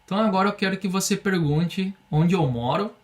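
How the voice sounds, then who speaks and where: Brazilian man, English teacher, young adult, patient drilling style, slightly higher voice. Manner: patient, explains slowly, drills phrases for repetition. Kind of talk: online language lesson.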